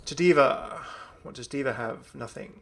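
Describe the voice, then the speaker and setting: A man hosting a gaming video with casual, enthusiastic commentary in a creaky voice. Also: mid-range voice, measured pace, a bit bright. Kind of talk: casual commentary on a game update.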